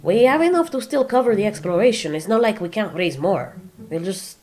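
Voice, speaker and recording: A woman's voice: mid-range voice, animated, brisk, a little mellow, natural voice. A woman voicing a crude, oblivious male flying monster who rambles chattily in a comic audio drama. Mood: excited, crude.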